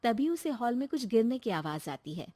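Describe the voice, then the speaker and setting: A woman's voice: fairly high pitch, big pitch swings, moderate pace, noisy background. Woman narrating a cartoon story.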